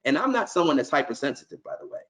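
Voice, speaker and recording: A man's voice: fairly high pitch, big pitch swings, racing, fairly bright tone. A middle-aged man from New York, a nonprofit executive, gives earnest, conversational remarks to a conference call and often restarts his sentences.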